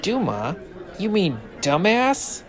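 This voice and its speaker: Audio drama sidekick: man as blunt joking schoolboy, fairly high voice, very slow delivery. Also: big pitch swings, crowd noise, heavily acted. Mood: wisecracking. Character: wisecracking, blunt American high school boy.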